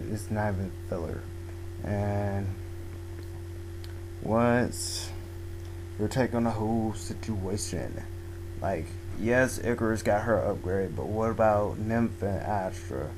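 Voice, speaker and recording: A young man going hoarse, giving a rambling, casual talk about a manga full of "like" and restarts. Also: on the low side, background noise. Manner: rambling, filler-heavy, restarts often. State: losing his voice. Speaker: manga video blogger. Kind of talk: casual spoken manga review. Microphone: home microphone.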